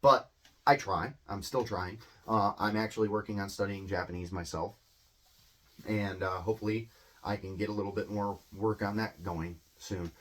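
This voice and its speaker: A man gives an unscripted English lesson in a low-pitched voice. He rambles, fills pauses with ums and uhs, and restarts his sentences. The tone stays loose and conversational, like a casual explainer video. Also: steady tone, fairly bright tone. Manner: rambling, filler-heavy, self-correcting. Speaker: English teacher.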